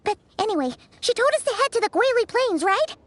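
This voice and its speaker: A woman voicing a small, chatty, easily spooked fairy-like girl companion in a video game, with a childlike squeaky voice. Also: lively intonation, fairly quick, dry recording. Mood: curious, uneasy. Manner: refers to self in third person, exclamatory. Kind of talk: animated video game dialogue. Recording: music behind the voice.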